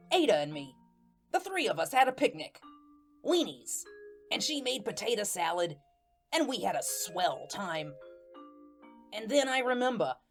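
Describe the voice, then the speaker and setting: Woman acting wistful male ghost, folksy rambling radio drama narrator, unhurried delivery, music underneath. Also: mid-range voice. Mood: wistful, musing. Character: wistful, lovesick, plain-spoken little male ghost.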